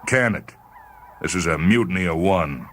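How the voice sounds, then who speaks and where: Man playing bitter, taunting spaceman, swooping pitch. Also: expressive, normal speed, slight background noise. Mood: bitter defiance. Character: bitter, taunting, mutinous male spaceman on radio. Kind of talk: dramatized character voice in story reading.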